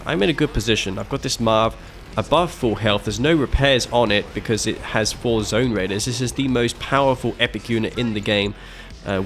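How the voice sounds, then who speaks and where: A young man giving casual, animated play-by-play commentary on a strategy match he played, with frequent fillers and restarts. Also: fairly low pitch, slight background noise. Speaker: video game caster commentating his own match.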